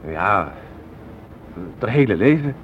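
An actor playing an earnest, lovestruck young man in a radio play, speaking in a gentle, hesitant way. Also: lowish voice, big pitch swings, takes it slowly. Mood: puzzled, earnest. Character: earnest, lovestruck, mild young man walking his date home. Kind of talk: Dutch radio drama acting. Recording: muffled, noisy old radio play recording.

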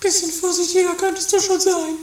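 A performer voicing a drunken, theatrical, murderous aristocratic widow in a high, whining, haughty falsetto for a trashy improvised horror comedy radio play. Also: level tone, brisk, reverberant. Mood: indignant, menacing. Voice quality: very high-pitched put-on falsetto.

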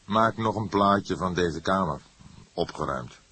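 A man voicing a weary, somber older police detective in an audiobook, speaking in a languid, measured manner. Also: low-pitched, sharp tone, slightly rough, pitched above the performer's own voice, heavily acted. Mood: explaining.

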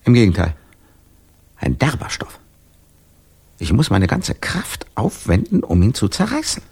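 An actor in a radio drama playing a tormented man with no memory, confessing in a halting, brooding, intense way. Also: fairly low pitch, wildly swooping pitch, darkish tone, husky, full character voice. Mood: intense, obsessive. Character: tormented amnesiac man haunted by gruesome visions.

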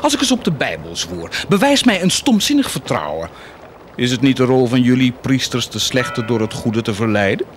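An actor playing a cynical, taunting war commander in a radio drama, mocking and insistent, moving between murmurs and outbursts. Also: male voice, medium pitch, big pitch swings, moderate pace, a little hiss or hum, close to an everyday voice. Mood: mocking, coaxing.

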